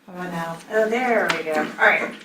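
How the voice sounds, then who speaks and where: A soft-spoken woman judge moderating a law school debate, opening with remarks and reading from a ruling, with many ums. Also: fairly low pitch, a little fast, background noise. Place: lecture hall. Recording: echoey hall, mic at a distance.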